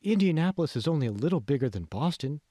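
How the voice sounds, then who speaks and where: A man's voice: fairly high pitch, very animated, quick pace, mellow, dry recording, clean studio sound. A man voicing a self-important, patronising state official in an audiobook, pompous and ingratiating. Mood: puffed-up.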